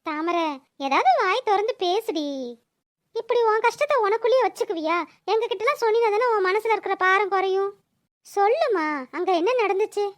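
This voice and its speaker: A woman's voice: extremely high pitch, moderate pace, dry recording, studio quality. A woman voicing a blunt, feisty village friend in a cartoon. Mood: coaxing. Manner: blunt, colourful scolding and coaxing. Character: feisty, scolding village elder-sister friend. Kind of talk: cartoon voice-over dialogue.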